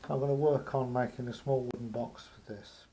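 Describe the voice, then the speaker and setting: A man giving a casual, unscripted hands-on demonstration as a hobbyist, speaking haltingly with pauses in mid-phrase. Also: mid-range voice, fairly even intonation, warm tone. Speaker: hobbyist showing a homemade radio aerial project. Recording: faint background noise, amateur camera recording.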